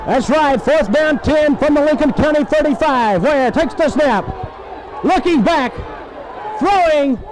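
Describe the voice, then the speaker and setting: A man's voice: extremely high pitch, expressive, measured pace, a crowd in the background, poor audio. A man calls live football play-by-play on a 1970s radio broadcast, in clipped phrases full of names and numbers.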